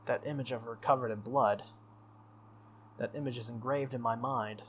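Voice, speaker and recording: A man's voice: mid-range voice, steady tone, normal speed, slight background noise. A man reading visual novel narration aloud as the host of a playthrough. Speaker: gaming channel host. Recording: music under the voice. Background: game music.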